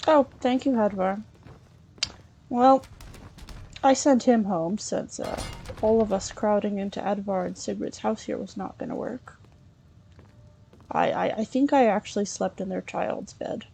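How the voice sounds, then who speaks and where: Lady roleplaying thru a video game, slow n mellow, kinda thinking out loud as a scholar adventurer. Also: mid-range voice, vocal fry, husky, low-quality recording. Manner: halting, musing aloud. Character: chatty Breton scholar woman, treasure-hunting adventurer. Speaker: let's play host role-playing a character. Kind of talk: improvised in-character narration of a video game.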